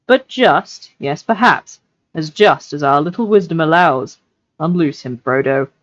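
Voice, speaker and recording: A woman's voice: sits low, lively intonation, measured pace, dry recording, lo-fi sound. A woman voicing a stern, noble lord in a storybook reading, formal and grave as he questions and passes judgment. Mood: grave, commanding. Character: stern, just, noble lord of men, measured judge. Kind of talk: audiobook-style character reading.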